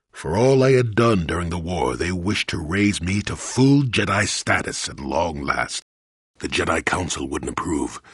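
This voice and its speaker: A man playing a crotchety, wry old hermit Jedi in a video game, grumbling in a folksy, sardonic way with a gravelly, husky voice. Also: low-pitched, a bit bright, no room sound, studio quality, exaggerated voice. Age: older. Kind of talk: video game character acting.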